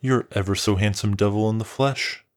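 A man voicing an ancient, gentle male dragon in a storybook reading, with a slow, soothing bass voice and a calm, knowing, gentlemanly manner. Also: natural voice. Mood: calm, tender.

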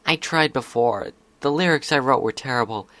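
A man's voice: medium pitch, normal speed, bright, forceful tone, dry recording, natural voice. A man voicing an anxious, downcast young female pony in a story reading. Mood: dejected.

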